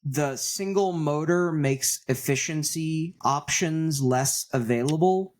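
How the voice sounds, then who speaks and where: A man's voice: fairly high pitch, takes it slowly. Man chatting casually, rambling and opinionated. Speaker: podcast co-host. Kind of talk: casual panel discussion.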